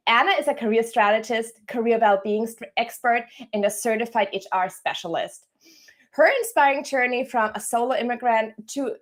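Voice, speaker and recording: A woman from Germany, a career coach, hosting a live-streamed interview with an upbeat, welcoming manner. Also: fairly high pitch. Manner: upbeat, welcoming, scripted-sounding intro.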